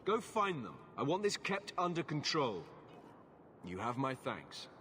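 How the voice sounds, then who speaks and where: A weary guard captain who turns people away in a curt, firm voice that rises and falls a lot. There is a faint ambient hum behind him. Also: male voice, mid-range voice, big pitch swings, measured pace, sharp tone. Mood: stern. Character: weary male city guard captain, blunt. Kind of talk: video game cutscene acting.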